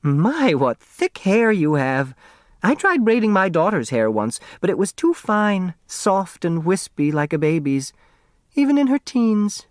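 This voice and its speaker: A man voicing a gentle, wistful, chatty older motherly seamstress in an audiobook. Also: high voice, very animated, unhurried, no room sound, clean studio sound, pitched above the performer's own voice. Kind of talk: audiobook character dialogue.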